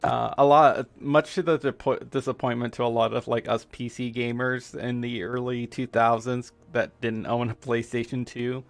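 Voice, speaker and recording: A man from the United States whose first language is Spanish, giving halting, filler-heavy casual commentary while streaming a game playthrough. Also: mid-range voice, flat delivery, vocal fry. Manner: halting, full of fillers and restarts. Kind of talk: casual let's-play commentary.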